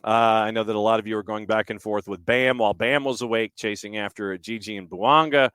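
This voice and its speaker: A man's voice: on the low side, fairly even intonation, fairly quick, a bit bright, smooth, dry recording, clean studio sound. A man hosting a casual sports talk show, talking in a wordy way with digressive asides. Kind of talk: casual sports talk and live-read sponsor spots. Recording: music bed behind the voice. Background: background music.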